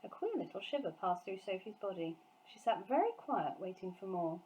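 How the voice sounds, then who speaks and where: Expressive woman reading a children's story. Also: mid-range voice, moderate pace, slight background noise, low-quality recording. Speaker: storybook reader, teacher. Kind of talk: expressive scripted narration of a children's book.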